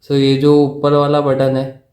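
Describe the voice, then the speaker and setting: Male product reviewer, unhurried halting delivery, echoey room sound. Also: mid-range voice, monotone, a little mellow. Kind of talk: casual unboxing and product explanation.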